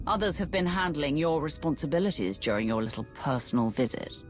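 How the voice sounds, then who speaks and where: Cold Sith warrior woman for a game: very deep for a woman, swinging intonation, commanding, threatening. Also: expressive, fairly quick, faint music behind. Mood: curt, impatient. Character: stern, imperious female Sith warrior. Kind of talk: dramatic video game voice acting.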